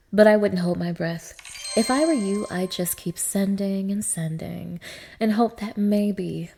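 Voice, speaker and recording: A woman's voice: fairly low pitch, mellow, a little hiss or hum. A woman playing a cruel, scornful dominatrix in an intimate ASMR-style audio roleplay, talking down to her listener with open contempt. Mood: greedy, scornful. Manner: sneering, scornful, swearing, swinging from breathy murmurs to raised snaps. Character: cruel, contemptuous young dominatrix belittling a submissive man.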